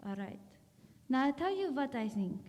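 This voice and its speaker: A woman's voice: high-pitched, big pitch swings, darkish tone. Woman as commanding doctor, reverberant hall. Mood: grave, commanding. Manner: authoritative, deliberate, brusque. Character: commanding, grave woman doctor investigating the supernatural. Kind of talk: radio drama acting.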